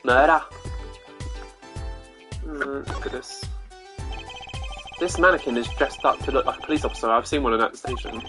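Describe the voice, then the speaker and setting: A woman's voice: a bass voice, moderate pace, pauses often, a bit bright, faint music behind. A woman voicing an earnest, exasperated young male lawyer from a video game, with a dry, slightly flustered delivery. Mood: grave, pondering. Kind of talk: video game character read-aloud.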